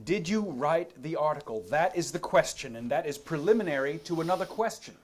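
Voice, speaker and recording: A man's voice: on the high side, lively intonation, a little fast. A man playing an impatient congressman chairing a 1950s hearing, brusque and commanding, in a dramatic reading. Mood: curt, impatient.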